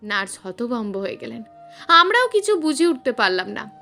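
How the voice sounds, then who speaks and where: A woman's voice: a little high, sing-song, moderate pace, pushed and bright, faint music behind. A woman narrating a scripted suspense story in a dramatic, emphatic storytelling manner.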